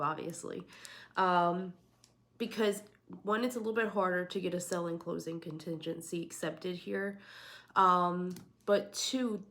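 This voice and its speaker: A woman who is sick and a little raspy, talking casually and emphatically as she gives advice as a realtor and channel host. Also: fairly low pitch. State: sick, slightly hoarse. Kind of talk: casual talk to camera, advice. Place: at home, indoors.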